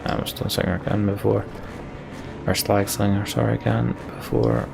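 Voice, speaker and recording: A man's voice: fairly low pitch, flat delivery, normal speed, gravelly. A man giving casual gameplay commentary, thinking aloud in a muttering way over game music. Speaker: let's play gamer commentating.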